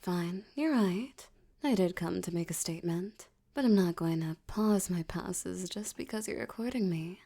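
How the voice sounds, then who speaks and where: A woman playing a seductive, menacing mafia boss in an ASMR roleplay, teasing and often slipping into a breathy whisper. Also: on the low side, warm tone. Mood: cool, businesslike. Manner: teasing, slow, velvety, threatening. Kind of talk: ASMR roleplay audio drama.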